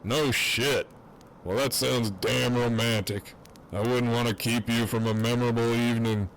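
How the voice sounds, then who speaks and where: A man's voice: mid-range voice, fairly even intonation, normal speed, a bit bright, slight background noise, natural voice, low-quality recording. A man playing a cocky, crude prisoner who teases in wry, swaggering banter in an audio drama. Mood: dry, teasing. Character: cocky, crude, teasing imprisoned man on a walkie-talkie. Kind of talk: fan audio drama acting.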